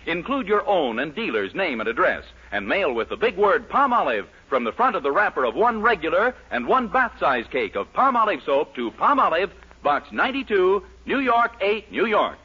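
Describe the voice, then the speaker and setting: Lively, bright-voiced 1940s radio announcer man. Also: high voice, normal speed, few pauses, breathy edge. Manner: polished, lilting announcer delivery. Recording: dull lo-fi 1940s radio broadcast.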